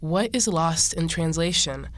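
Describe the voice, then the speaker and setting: Very low-voiced woman podcast co-host. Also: unhurried. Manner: friendly interviewer, short affirming replies. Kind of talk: podcast hosting and interviewing.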